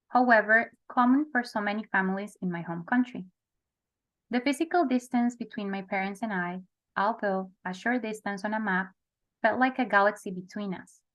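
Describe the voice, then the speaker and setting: A woman's voice: medium pitch, dull audio. Earnest woman from El Salvador telling her story. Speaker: honoree, therapist. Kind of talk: recorded personal story. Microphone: close mic, video recording.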